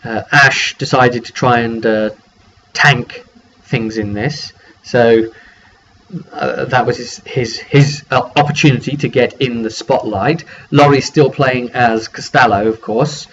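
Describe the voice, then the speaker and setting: A man with a medium-pitched voice recounts a tabletop session informally, as the one who runs the game. He rambles, restarting and repeating phrases as he goes. The sound is slightly muffled and lo-fi, with a little noise in the background. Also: fairly even intonation, slow, fairly bright tone. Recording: dull lo-fi mic with faint background noise.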